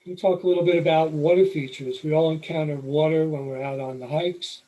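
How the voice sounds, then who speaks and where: Middle-aged New York man, slightly high voice, calm explanatory presenter for a photo talk. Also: a little fast, poor audio. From New York City. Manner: relaxed, explanatory, with occasional fillers. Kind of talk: slide presentation lecture.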